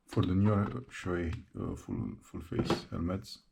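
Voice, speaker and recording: A man narrating a hands-on how-to tutorial step by step, speaking haltingly in short broken phrases. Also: low voice, very animated, vocal fry, mellow, a little hiss or hum. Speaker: presenter of a how-to installation tutorial.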